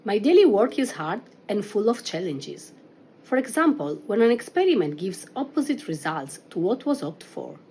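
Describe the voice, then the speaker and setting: A woman's voice: mid-range voice, darkish tone, slight background noise. A middle-aged woman from Italy with an Italian accent, a researcher presenting herself and her work in scripted English. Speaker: research associate.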